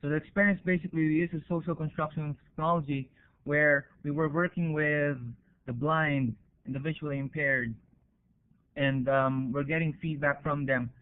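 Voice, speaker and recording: A man with a Filipino accent and a slightly high voice presents research in an informal, explanatory way. He speaks hesitantly and pauses to check that listeners follow. The sound is thin and narrow, as over an internet call. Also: steady tone, clear, resonant tone. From Philippines.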